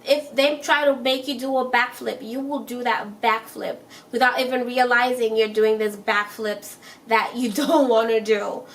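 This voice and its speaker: A woman's voice: on the high side, steady tone, measured pace, few pauses, far from the mic. A young woman talking to her viewers in a chatty, emphatic way, repeating her points and laughing as she gives self-help advice. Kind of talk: casual advice monologue.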